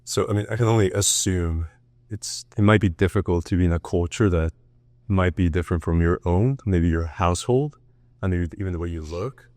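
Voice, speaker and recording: Low-voiced male host asking questions. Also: brisk, a little mellow, no room sound. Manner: curious, asks long open questions. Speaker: podcast host. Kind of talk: interview questions.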